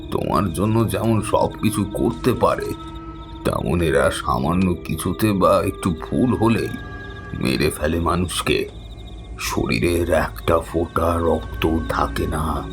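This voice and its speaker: A man voicing a grim, mysterious old wanderer in a horror audio story, speaking gravely and ominously. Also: low-pitched, slow. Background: background music.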